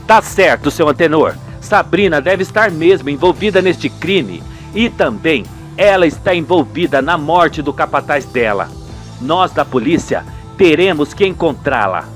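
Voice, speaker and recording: A man's voice: fairly high pitch, expressive, a little slow, faint music behind, voice pushed down. A man voicing a formal, probing Brazilian police investigator in a radio soap opera, composed and determined. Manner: official, probing.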